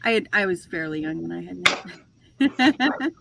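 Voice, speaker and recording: A woman's voice: fairly low pitch, animated, normal speed, vocal fry, very noisy. A woman in her forties hosting a casual livestream chat, talkative and self-deprecating, laughing often as she tells stories. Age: 40s. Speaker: host of a reseller livestream.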